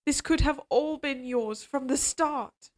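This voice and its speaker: A woman voicing an obsessively devoted, quietly menacing evil queen in an audio drama, speaking in a formal, measured, imperious way. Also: sits high. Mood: insistent, possessive. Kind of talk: scripted dramatic audio roleplay.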